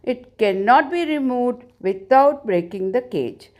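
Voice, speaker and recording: A woman's voice: a little high, animated, a little slow, a little mellow. A woman voicing a firm, dismissive senior courtier in a storybook tale, emphatic and formal.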